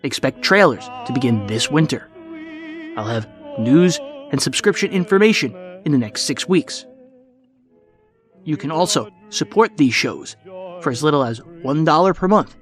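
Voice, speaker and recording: A man hosting a podcast with scripted documentary narration in a measured, clearly phrased announcer delivery. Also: a little high, lively intonation, faint music behind. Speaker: podcast host.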